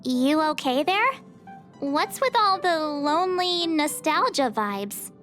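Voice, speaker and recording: A woman voicing a bubbly, excitable teenage girl adventurer in a video game, with a peppy, chatty manner. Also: high voice, slow, heavily acted. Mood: teasing. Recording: game music behind the voice.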